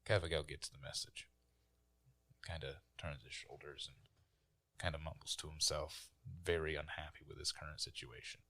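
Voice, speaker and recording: A man's voice: very deep voice, fairly even intonation, normal speed, vocal fry, bright, forceful tone. A man playing a tabletop game, chatting casually about dice rolls and his character's actions.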